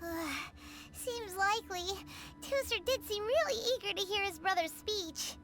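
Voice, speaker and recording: A woman voicing a small, eager, childlike video game companion with a squeaky, chirpy voice. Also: lively intonation, moderate pace. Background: game music.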